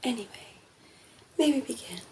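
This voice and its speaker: A woman voicing a ditzy, flirty female vampire in an ASMR roleplay, speaking softly and breathily, often in a whisper, with a coy, teasing manner. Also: on the high side, lively intonation, noisy background, lightly acted. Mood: chatty, whimsical. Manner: slow, teasing, coy, giggly.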